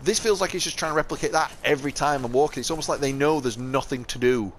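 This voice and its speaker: A man's voice: medium pitch, fast talker, slight background noise. A man with a South Yorkshire accent giving casual, rambling gameplay commentary, often correcting himself mid-sentence. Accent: South Yorkshire English. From England. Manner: rambling, chatty, self-correcting, laughs often. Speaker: gaming channel host. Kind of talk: casual let's-play commentary. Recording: game music faintly behind.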